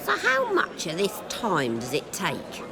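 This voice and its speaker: A woman's voice: fairly low pitch, wildly swooping pitch, measured pace, vocal fry, heavily acted. An actress playing a puzzled, sceptical woman in a crowd in a video game, questioning and incredulous. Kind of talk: video game dramatic acting. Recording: echoey, distant, loud crowd noise.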